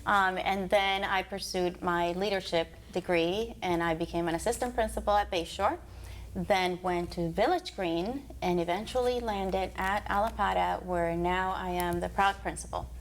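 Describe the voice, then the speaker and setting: A woman's voice: on the low side, fairly even intonation, normal speed, slight background noise, low-quality recording. A woman school principal from Puerto Rico, composed and proud as she recounts her career in an interview. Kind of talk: interview answers.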